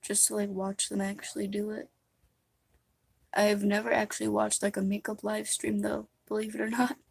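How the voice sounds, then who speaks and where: A woman's voice: medium pitch, monotone, moderate pace, vocal fry, slightly rough, no echo. A young woman chatting casually and musingly while live streaming a makeup session, rambling between thoughts. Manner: rambling, soft-spoken and musing.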